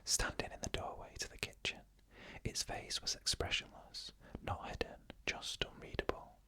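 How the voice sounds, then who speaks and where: An ASMR narrator telling eerie first-person stories in a hushed, slow, suspenseful whisper, almost entirely without voice. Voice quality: unvoiced whisper throughout.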